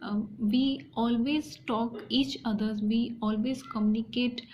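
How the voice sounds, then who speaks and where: A woman vlogger with an Indian accent talks to her viewers at a very slow pace in a slightly high voice. Her English is halting and hesitant, with phrases often repeated. The recording is a little dull and lo-fi. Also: steady tone, darkish tone. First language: an Indian language alongside Hindi. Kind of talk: personal talk to viewers about learning English. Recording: dull lo-fi sound, faint background noise.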